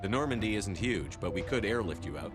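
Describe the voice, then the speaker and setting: A man's voice: fairly low pitch, expressive, fairly quick, natural voice. A man playing a composed, dutiful military commander in a game, businesslike and controlled. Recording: loud background music.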